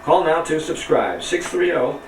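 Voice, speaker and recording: A man's voice: fairly high pitch, animated, measured pace. American male radio host, live on location, roomy distant pickup with light background noise. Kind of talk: live broadcast hosting and interviewing. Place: back platform of old passenger railcar. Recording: echoey distant camcorder audio, faint railyard noise. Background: faint train and outdoor ambience.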